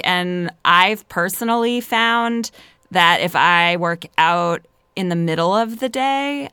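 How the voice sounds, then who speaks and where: Smooth-voiced Philadelphia woman in casual chat. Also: lowish voice, pushed and bright, no room sound, studio quality. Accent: Philadelphia American. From Philadelphia, United States. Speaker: podcast guest.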